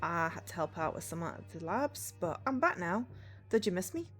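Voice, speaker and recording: A woman voicing a courteous, hesitant female friend in a visual novel, speaking gently and politely. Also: on the low side, lively intonation, moderate pace, soft background music. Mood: friendly.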